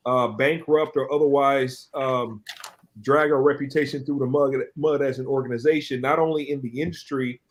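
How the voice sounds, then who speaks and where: Male co-host, mid-pitched voice, rambling storyteller with frequent restarts. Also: steady tone, moderate pace, a little hiss or hum. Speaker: podcast co-host. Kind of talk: casual panel discussion.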